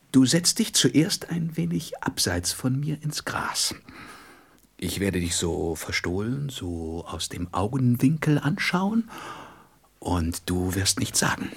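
An actor playing a gentle, wise and wistful fox in a radio play, speaking tenderly and deliberately and often dropping into whispers. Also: male voice, mid-range voice, heavily acted. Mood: gently pleading. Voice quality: husky, breathy voice that drops into whispers. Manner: deliberate, expressive, tender storybook delivery.

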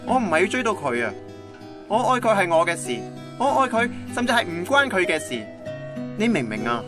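Casting: male actor, lovesick young Cantonese romantic from radio drama, high animated voice, earnest, Hong Kong accent, guitar behind. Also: brisk. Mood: earnest devotion. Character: lovesick young man, stubbornly devoted, self-deprecating romantic. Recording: guitar music under the voice.

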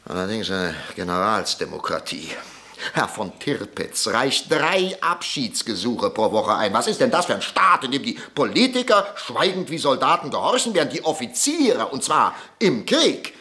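An actor playing an agitated, idealistic middle-aged politician and professor in a German radio drama, speaking emphatically. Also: male voice, mid-range voice, big pitch swings, bright, forceful tone. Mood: indignant. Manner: impassioned, emphatic, halting when upset. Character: indignant professor and parliament deputy, agitated, idealistic.

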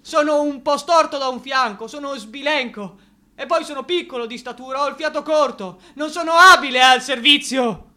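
A man's voice: extremely high pitch, moderate pace, pushed and bright, exaggerated voice. A man acting in an Italian radio drama as an anguished young clerk losing his mind, pleading and blaming himself. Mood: manic. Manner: anguished, pleading, emotionally overwrought.

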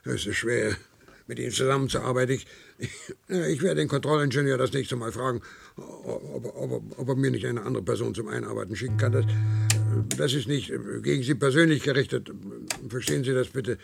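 Radio play role: very old worker, creaky husky voice, proud of duty, set in his ways, trips over words when pressed. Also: medium pitch, a little fast. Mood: flustered, apologetic. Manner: stammers and repeats words when agitated.

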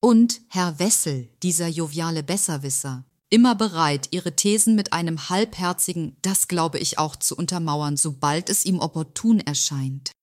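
A scheming office insider acted by a woman, speaking in a low female voice with a very dry, close sound. She is smug and sly, confiding her intrigues with feigned innocence as in a radio play monologue. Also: normal speed, hardly any pauses, no room sound, close to an everyday voice. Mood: scornful, smug. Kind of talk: audio drama monologue, confessional narration.

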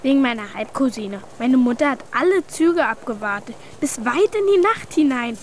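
A woman's voice: sits high, lively intonation, normal speed, a little hiss or hum. A woman playing a brash, chatty, slightly mean schoolgirl with cheeky, slangy childlike delivery in a German children's radio play. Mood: gleeful, scheming.

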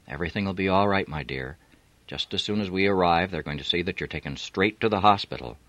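A man reading a novel aloud, voicing a quick-witted woman who talks gently and coolly while playing a part. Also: fairly low pitch, sing-song, no room sound, voice pushed up. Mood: gentle. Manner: gentle, soothing. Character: woman posing as sister, sly and calm.

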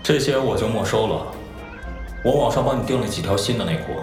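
A man's voice: lowish voice, brisk, roomy sound, music in the background, lightly acted. A man playing a composed, politely authoritative young male star in a Chinese audio drama. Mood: cool, firm.

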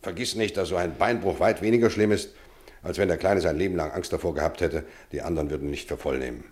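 An actor playing a stern but kindly boarding-school teacher in a radio drama, emphatic and thoughtful. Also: male voice, deep voice, fast, a little mellow, lightly acted. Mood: firm, thoughtful.

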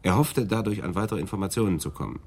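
Deep-voiced, stern police detective. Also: male voice, fast, darkish tone, husky. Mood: matter-of-fact. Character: senior police detective, stern, matter-of-fact. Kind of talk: radio play acting.

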